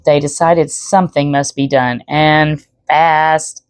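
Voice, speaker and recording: A woman's voice: low voice, little pitch movement, takes it slowly, no room sound, soft background music. A woman storyteller reading aloud expressively, with chatty asides to the listener. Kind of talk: expressive read-aloud narration.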